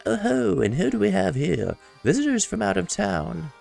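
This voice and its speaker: A man voicing a cheerful long-nosed male villager from a puzzle game, in a squeaky, chirpy voice. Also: very animated, moderate pace, gravelly, a little mellow, faint music behind, exaggerated voice. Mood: cheery.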